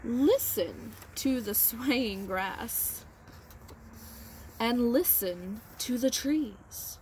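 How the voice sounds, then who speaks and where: Lady doing a kind wise cricket from a kids storybook, soothing n slow, bit of background noise. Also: on the high side, animated, a little mellow. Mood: gentle, encouraging. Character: wise, gentle, encouraging cricket who plays violin.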